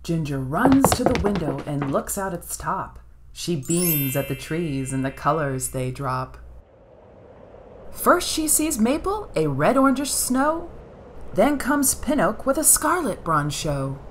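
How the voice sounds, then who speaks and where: A woman narrating a children's picture book in an expressive, rhythmic read-aloud style. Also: fairly low pitch, takes it slowly, a little hiss or hum. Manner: rhythmic rhyming delivery, expressive. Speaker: storybook narrator.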